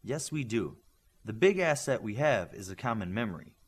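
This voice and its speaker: A man voicing a brisk, efficient woman teacher in an audiobook. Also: fairly low pitch, very animated. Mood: instructive.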